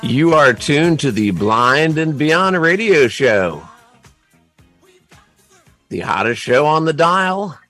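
A man's voice: on the high side, big pitch swings, slow pace, long pauses, bright, forceful tone, soft background music. A man co-hosting a talk radio show, with a jokey, announcer-style delivery. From Central Florida. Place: radio studio.

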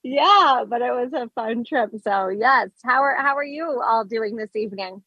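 A woman's voice: on the high side, normal speed, breathy edge, no room sound, lo-fi sound. A woman from Colorado, a guest on a radio show, chatting in a bubbly way and laughing easily. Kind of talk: casual interview chat.

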